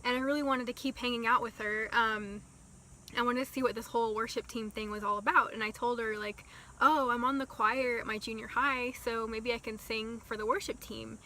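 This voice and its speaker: A young woman telling a casual storytime vlog, talking slowly and softly with many ums and likes. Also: a little high, steady tone, a little hiss or hum. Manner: slow, soft-spoken with many ums and likes. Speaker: vlogger.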